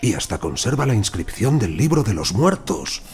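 An actor playing a genial, courteous gentleman archaeologist in a Spanish audio drama, with a hearty manner. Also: fairly low pitch, big pitch swings, normal speed, soft background music. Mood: curious. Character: genial, gossipy gentleman archaeologist, office-bound colleague.

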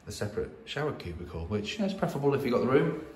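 A man's voice: medium pitch, sing-song, racing, a little hiss or hum. A man giving a casual walkthrough of an empty house, talking with many fillers and restarts into a handheld phone. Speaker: property investor. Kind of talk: walkthrough video. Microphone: phone at arm's length.

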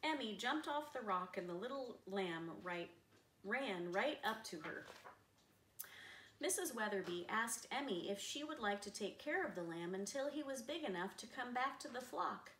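A middle-aged woman reads a children's picture book aloud in an expressive storyteller's manner. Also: on the high side, moderate pace. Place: living room, seated on a sofa. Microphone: camera a few metres away. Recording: roomy, distant camera mic, faint noise.

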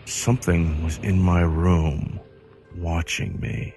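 A man narrating a creepy horror story in a dramatic, ominous manner with deliberate pauses. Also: sits low, creaky, a little mellow, slightly rough, faint music behind.

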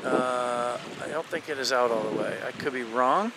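A man's voice: mid-range voice, normal speed. A man coaching sailors from a motorboat, explaining technique and calling out commands. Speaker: sailing coach. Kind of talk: live on-the-water coaching commentary. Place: outdoors on a motorboat on a lake. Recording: wind noise on camera mic.

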